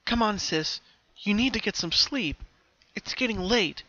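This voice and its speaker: A man voicing a comforting, protective older pony sister, gentle and soothing. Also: high-pitched, expressive, a little slow, dull audio, voice pushed up, heavily acted.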